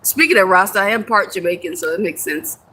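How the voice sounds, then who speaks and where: Bright-voiced chatty young woman. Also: medium pitch, fast talker, breathy edge. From United States. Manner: chatty, answers comments, laughs easily, some swearing. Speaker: livestream host. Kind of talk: casual live chat with viewers.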